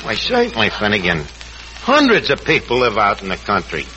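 An actor playing a cocky, uneducated tavern manager who mangles fancy words in 1940s radio comedy. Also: male voice, fairly low pitch, huge pitch swings, fairly quick, full character voice. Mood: explaining, self-important. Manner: pompous malapropisms, wisecracking. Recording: noisy vintage radio recording, loud studio audience.

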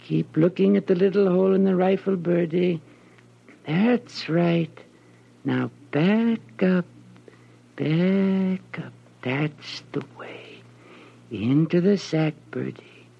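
An actor playing an eccentric, fussy snake expert with a creaky, raspy voice and a folksy, coaxing manner, in 1940s radio style. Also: male voice, high-pitched, slow pace, pauses often, heavily acted. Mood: soothing, coaxing. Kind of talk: 1940s radio character acting. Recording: dull old radio recording.

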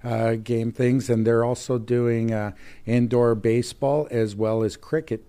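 A man born in Mexico and raised near Chicago, a chamber of commerce president talking casually in an interview, friendly, with many pauses and restarts. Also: medium pitch, fairly even intonation, warm tone, clear, resonant tone. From Mexico, raised in Chicago area.

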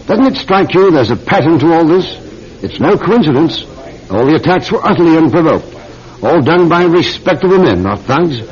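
An imperious male British aristocrat with a fairly high, widely swinging voice. He blusters pompously in an old radio drama, on a slightly dull recording. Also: very animated, moderate pace, darkish tone. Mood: insistent, alarmed. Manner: pompous, indignant.

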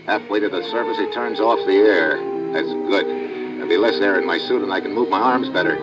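A man's voice: sits low, a little fast, over loud music, close to an everyday voice. A man playing a tough, terse adventurer hero who narrates his own exploits in a 1950s radio drama. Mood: tense narration. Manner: hard-boiled, terse. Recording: dull vintage radio recording.

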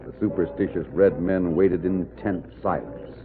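A man's voice: low voice, fairly even intonation, normal speed, close to an everyday voice. A man as the dramatic narrator of an old western radio serial, reading scripted narration. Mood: suspenseful. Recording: narrow-band old radio with music bed.

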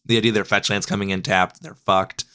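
Male podcast host, hoarse and raspy from a cold, slightly low voice, loose self-correcting delivery. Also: flat delivery, fairly quick, pushed and bright. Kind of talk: casual deck analysis.

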